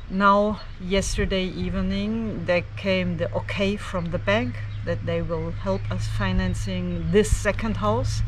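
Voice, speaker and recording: A woman's voice: on the low side, distant mic, slight background noise, poor audio. A woman in her fifties from Switzerland giving an earnest, chatty vlog update outdoors in a garden, filmed on a camera at arm's length. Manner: chatty and earnest, with ums and restarts. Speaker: vlogger.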